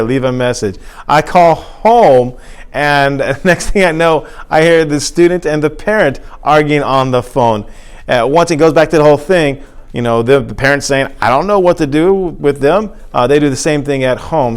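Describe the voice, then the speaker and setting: A man's voice: on the high side, clear, resonant tone. Conversational man explaining as a teacher. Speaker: teacher and presenter. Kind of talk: informal instructional talk to camera.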